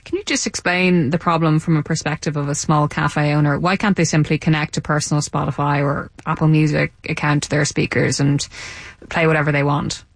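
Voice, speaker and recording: Low-voiced woman radio presenter. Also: little pitch movement, no echo. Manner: measured, probing questions. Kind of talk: broadcast interview. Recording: dry studio sound.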